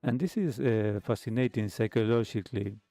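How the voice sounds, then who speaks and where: A man hosting a retro radio talk show, speaking in a reflective, halting monologue to his listeners. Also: lowish voice, gravelly, warm tone, dry recording. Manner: hesitant, halting phrasing with pauses. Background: background music.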